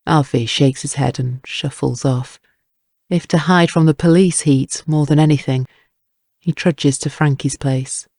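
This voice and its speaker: Creaky, dry-recorded dramatic narrator. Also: medium pitch, steady tone, a little slow, a little mellow, no room sound.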